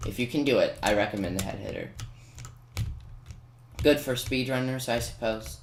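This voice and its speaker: Male gameplay commentator, mid pitch, casual tutorial delivery with self-corrections; echoey room, faint background noise and keyboard clatter. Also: moderate pace, creaky. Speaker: video creator giving game walkthrough commentary. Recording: roomy echo, faint noise with key clicks. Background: computer keyboard typing and clicks.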